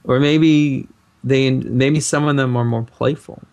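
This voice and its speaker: A man's voice: mid-range voice, fairly even intonation, slow, darkish tone. A man co-hosting a podcast, musing in casual chat with a hesitant, thoughtful delivery. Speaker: podcast co-host.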